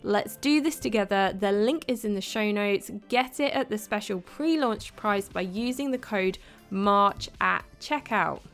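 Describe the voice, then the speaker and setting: A woman's voice: a little slow, fairly bright tone, faint music behind. Woman, upbeat scripted promo read, persuasive. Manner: upbeat, persuasive sales pitch. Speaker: podcast host.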